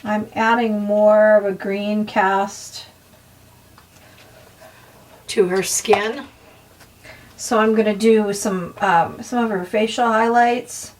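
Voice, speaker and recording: A woman with a slightly hoarse voice talks through a coloring tutorial at a slow, relaxed pace, pausing often with soft ums. Her pitch sits in a middle range, and she sounds a little distant from the microphone. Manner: soft, unhurried, with frequent ums and pauses. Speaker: art tutorial host. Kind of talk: casual coloring tutorial narration.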